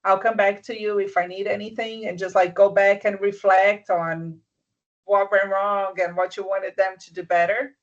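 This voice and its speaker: A business mentor giving soft-spoken advice in an online session, often restarting sentences and checking that the listener follows. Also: mid-range voice, flat delivery, moderate pace, highs cut off.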